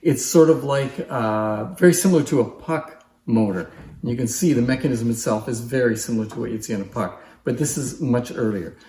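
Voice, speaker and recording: A man's voice: mid-range voice, a little fast, warm tone, a little hiss or hum. A man giving an informal, unscripted demonstration as a collector, explaining things in a plain-spoken way to viewers. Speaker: antique phonograph collector and presenter. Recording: echoey, roomy sound.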